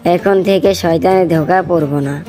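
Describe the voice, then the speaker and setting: A sincere, wavering young boy in a cartoon, acted by a woman. The voice is soft-edged and mellow, slightly low, with faint music underneath. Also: moderate pace, natural voice. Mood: sincere, repentant. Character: young boy, thirsty, wavering but repentant. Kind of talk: moral cartoon dialogue.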